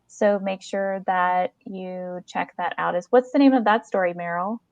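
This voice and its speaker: A woman's voice: lowish voice, fairly even intonation, normal speed, a little mellow, no room sound, muffled recording. A woman co-hosting a podcast in casual chat, speaking in an effusive, encouraging way. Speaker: podcast co-host. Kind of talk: casual interview chat with plugs.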